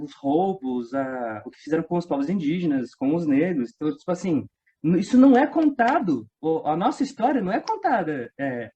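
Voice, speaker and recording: A man's voice: high voice, dark tone. A man with a Brazilian accent chatting casually as an interview guest. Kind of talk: casual chat. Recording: dull lo-fi sound.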